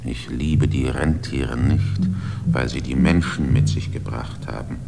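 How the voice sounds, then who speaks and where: A vast, brooding mountain spirit that fears and hates humans. It speaks with a very deep, gravelly voice, slow and grave, often sinking into a whisper. Music swells behind it in this radio play. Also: male voice, fairly even intonation, heavily acted.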